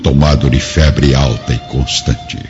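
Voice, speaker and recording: A man's voice: extremely low pitch, steady tone, measured pace. A man narrating a radio drama in a solemn, dramatic scripted style. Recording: muffled, long reverb, loud music behind.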